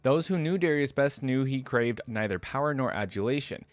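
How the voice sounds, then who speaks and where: A man's voice: medium pitch, animated, moderate pace, no room sound, faint music behind. A man hosting a casual reaction video, switching between chatty commentary and reading lore aloud with informal asides. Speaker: YouTube reaction host.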